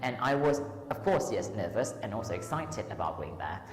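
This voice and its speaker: A Thai-accented woman with a strikingly deep voice answers interview questions, pausing on ums and starting her sentences over. She sounds as if she is in a large room with a long, ringing echo. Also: big pitch swings, soft background music. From Thailand. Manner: hesitant, many ums and restarts. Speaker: lecturer and tutor, runs a tutoring school. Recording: echoey hall with long reverb.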